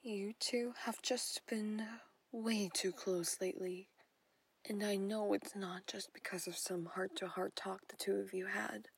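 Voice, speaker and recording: A woman's voice: medium pitch, fairly even intonation, unhurried, no room sound, heavily acted. A woman whispering as a possessive stepmother. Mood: coaxing, concerned. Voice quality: breathy whisper. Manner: intimate whispering, coaxing and controlling. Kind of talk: whispered audio roleplay.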